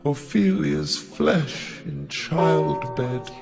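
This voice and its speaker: An actor playing a disgusted, world-weary intellectual in an audio play, declaiming a monologue in halting, broken phrases. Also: male voice, a little high, vocal fry, exaggerated voice. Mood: loud, declamatory. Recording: music under the voice.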